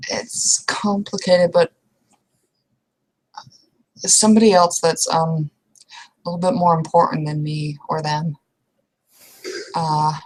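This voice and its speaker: Woman playing a low-voiced, hesitant, weary young woman. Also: creaky, full character voice, poor audio. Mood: downcast, hesitant. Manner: hesitant, halting, coarse-mouthed. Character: weary, conflicted young female werewolf, blunt former brawler. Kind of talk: improvised in-character roleplay monologue.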